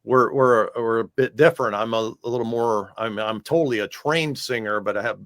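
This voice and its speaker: Casual American man, low dry voice. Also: a little fast, a bit bright, no room sound. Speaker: singer, interview guest. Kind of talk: casual music interview chat.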